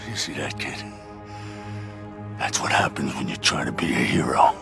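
An actor playing a volatile, threatening middle-aged street mugger in a video game. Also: male voice, a bass voice, moderate pace, loud music behind the voice, exaggerated voice. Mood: cold, sneering. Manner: threatening, gruff.

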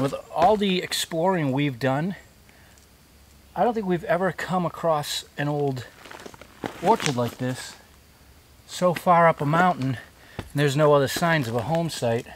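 A man's voice: fairly high pitch, expressive, moderate pace, long pauses, a little hiss or hum. Curious man musing on a forest hike. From New England. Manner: musing, curious, thinking aloud as he walks. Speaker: hiking vlogger. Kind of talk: casual walking narration to camera. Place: outdoors in forest on a mountain. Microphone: body-worn action camera.

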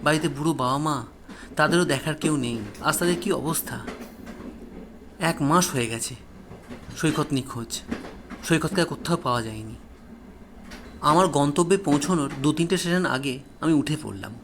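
A man telling a first-person horror story as a dramatic narrator, with suspenseful, deliberate phrasing. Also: fairly high pitch, fairly bright tone, slight background noise.

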